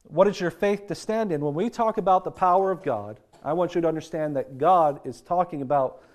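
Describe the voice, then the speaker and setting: Congested man preaching, slightly higher voice. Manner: earnest preaching, slows and stresses key phrases. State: congested, nasal from a sinus infection. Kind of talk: sermon.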